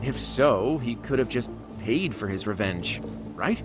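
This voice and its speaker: A man's voice: mid-range voice, expressive, measured pace, natural voice. An actor playing a man who briefs his detective partner on a case in a game cutscene, in an explanatory tone. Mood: explaining. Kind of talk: video game cutscene voice acting. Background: background music.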